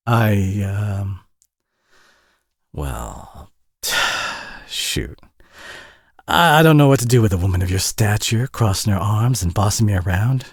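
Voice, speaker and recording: A man acts out a Southern American single dad in an intimate audio drama, his voice low and very lively in its rise and fall. He is folksy and bashful, tired but warm, and sprinkles his hesitant talk with sweet pet names. The sound is close and dry. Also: slightly rough, dry recording, exaggerated voice.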